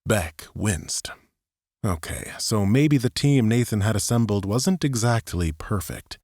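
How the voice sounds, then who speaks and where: A man narrates dramatic fiction for an audiobook. His voice is fairly low, and the recording is very dry and close, with no room sound. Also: lively intonation, a little slow, a little mellow, dry recording.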